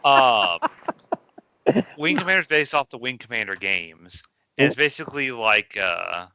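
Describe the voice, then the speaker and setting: A man's voice: mid-range voice, big pitch swings, measured pace, gravelly, background noise. A man co-hosting a casual podcast about video game movies, rambling with many fillers and restarts. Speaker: podcast co-host. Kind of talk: casual chat.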